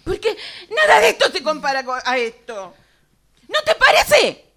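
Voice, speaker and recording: A woman's voice: high-pitched, huge pitch swings, moderate pace, bright, forceful tone, full character voice. A woman acting an emotional, dismissive partner in a radio drama exercise. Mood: agitated, dismissive.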